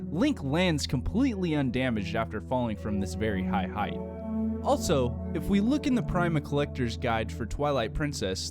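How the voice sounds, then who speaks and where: A man reading a scripted video-essay narration in a measured, explanatory, argumentative manner. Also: mid-range voice.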